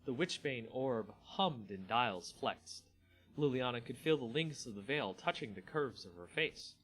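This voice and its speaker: A man reading a fantasy story aloud as a theatrical, deliberate dramatic narrator. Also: mid-range voice, animated, a bit bright, breathy edge, faint music behind, rough recording.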